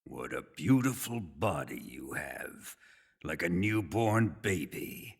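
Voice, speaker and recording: An actor playing a sadistic, menacing middle-aged Soviet interrogator in a video game, with a theatrical, taunting manner and a gravelly voice. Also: male voice, low voice, very animated, slow pace, heavily acted. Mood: quiet, gloating. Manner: theatrical, taunting, swinging from hushed purr to bellowing. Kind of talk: dramatic video game acting.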